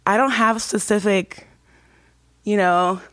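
Young woman, student guest, chatty, laughs easily, mid pitch, dry room. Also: steady tone, unhurried, fairly bright tone, dry recording. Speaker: student and church singer. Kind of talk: casual chat.

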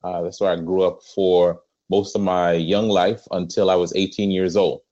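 Deep-voiced Trinidadian pastor, muffled audio. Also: male voice, flat delivery, mellow. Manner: earnest storytelling with frequent "um" pauses. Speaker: pastor, podcast guest. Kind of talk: conversational interview.

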